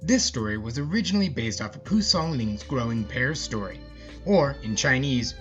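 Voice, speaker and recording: Animated male storyteller, slightly muffled. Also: mid-range voice, normal speed. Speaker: podcast host and storyteller. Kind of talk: scripted story narration with casual asides. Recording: slightly muffled, music behind. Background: music.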